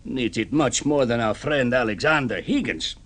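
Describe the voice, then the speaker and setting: A man's voice: medium pitch, animated, normal speed, fairly bright tone. An actor playing a cheerful, shrewd art dealer lecturing his son indulgently, in a theatrical old radio drama style. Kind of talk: 1950s radio drama acting.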